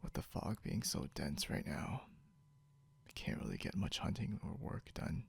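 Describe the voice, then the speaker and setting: A man playing a grumpy, teasing, possessive young beast-eared hunter in an intimate ASMR roleplay, drawling drowsily and half whispering with a breathy, gravelly voice. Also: low voice, sing-song, moderate pace, dry recording, heavily acted. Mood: sleepy, grumbling.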